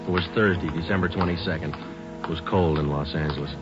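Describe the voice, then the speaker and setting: Deadpan low-voiced police detective, old radio. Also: male voice, fast. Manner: deadpan, terse, matter-of-fact. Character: terse, businesslike male homicide police detective. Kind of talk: 1940s-50s radio drama acting, clipped first-person narration. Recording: muffled old radio broadcast, music.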